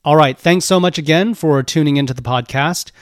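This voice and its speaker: A man with a hoarse voice hosting a finance podcast and interviewing a guest, upbeat in his intro. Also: a little high, brisk, soft background music. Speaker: podcast host.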